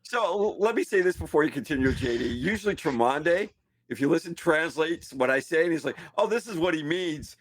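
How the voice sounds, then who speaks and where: Man, podcast co-host, rambling, self-mocking, higher voice, dry sound. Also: lively intonation, a little fast, no room sound. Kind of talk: casual podcast chat.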